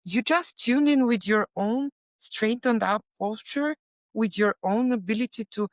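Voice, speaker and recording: A woman from Bulgaria, a plant medicine facilitator, speaking as an interview guest in a soft, earnest way with frequent pauses and fillers. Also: on the high side, no echo, studio quality. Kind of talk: casual interview talk.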